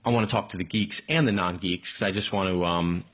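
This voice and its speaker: A man hosting a casual live call-in tech stream, rambling along in an informal, enthusiastic way with a slightly husky voice. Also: deep voice, fairly quick, fairly bright tone. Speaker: live tech show host.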